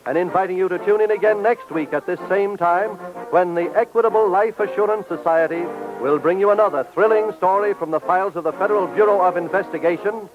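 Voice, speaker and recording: A man's voice: sits high, a little fast, over music. A man announcing in a formal, polished, scripted 1940s radio style as a sponsor's spokesman. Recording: dull old radio recording.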